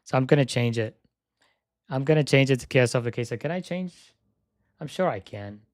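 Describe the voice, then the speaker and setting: A young man live-streaming a flight sim session, chatting casually and thinking aloud as he sets up, his voice slightly hoarse. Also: mid-range voice, animated, brisk, dry recording, studio quality. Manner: repeats "yep" while checking items.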